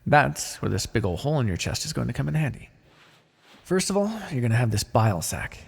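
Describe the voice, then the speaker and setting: Husky, creaky necromancer played by a man. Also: mid-range voice, very animated, a little fast, big-room sound, exaggerated voice. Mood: focused, instructive. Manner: casual, conversational, wry. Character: pragmatic, chatty male necromancer, matter-of-fact and ambitious. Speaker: roleplay voice actor. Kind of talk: ASMR fantasy roleplay, one-sided dialogue.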